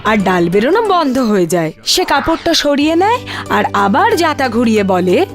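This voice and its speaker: A female storyteller narrates a cartoon fairy tale from a script. Her voice is fairly high and animated in its intonation. Gentle music plays faintly behind her. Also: moderate pace.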